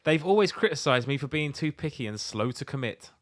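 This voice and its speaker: A man's voice: fairly high pitch, lively intonation, a little fast. A man co-hosting a podcast in casual comic chat, blunt and sweary with an incredulous way of talking. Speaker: podcast co-host. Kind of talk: casual comic chat, reading out letters and reacting.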